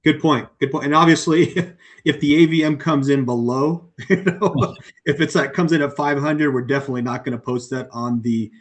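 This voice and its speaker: Man talking loosely through a software demo. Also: on the high side, normal speed. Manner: conversational, with frequent ums and you-knows. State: voice going hoarse. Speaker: company co-founder presenting a webinar. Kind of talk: casual walkthrough of a software demo.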